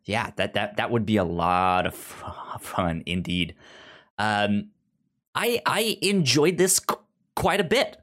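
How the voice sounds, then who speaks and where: Podcast host, mid-pitched, for relaxed review discussion; halting speech with repeated, stuttered word openings. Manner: halting, with frequent stuttered repeats of sounds and words.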